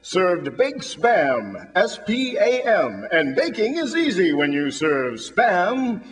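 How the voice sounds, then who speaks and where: An American man announcing and selling in an upbeat, clearly enunciated 1940s radio commercial style. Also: sits high, huge pitch swings, takes it slowly. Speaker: radio announcer and sponsor pitchman. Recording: old radio broadcast with music.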